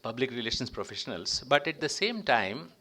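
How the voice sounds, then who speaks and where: A man with an Indian accent speaking as a diplomat and guest speaker, addressing professionals in a formal, emphatic way with deliberately broken phrases. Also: medium pitch, very animated, bright, forceful tone. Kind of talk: public speech to professionals.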